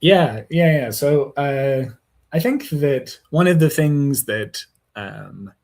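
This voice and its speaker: A man calling into a live stream, talking hesitantly with many pauses and fillers. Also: mid-range voice, expressive, vocal fry, a little mellow. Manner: hesitant, lots of fillers, tentative. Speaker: caller. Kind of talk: casual discussion.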